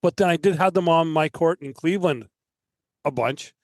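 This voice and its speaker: A man's voice: a little high, normal speed, dry recording, studio quality. A man on a podcast panel, chatting casually about sport.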